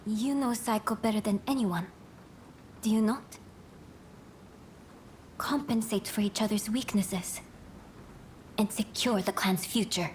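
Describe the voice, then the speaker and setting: An actress playing a composed, gracious young noblewoman in a game cutscene, speaking formally and softly in measured phrases. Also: mid-range voice, slight background noise, lightly acted. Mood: solemn, composed. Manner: formal, measured, soft-spoken. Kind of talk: dramatic video game cutscene acting.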